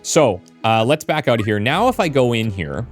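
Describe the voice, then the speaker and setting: Casual male gamer, mid-pitched voice. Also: very animated, moderate pace. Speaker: video game streamer. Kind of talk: casual let's play commentary. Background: game music.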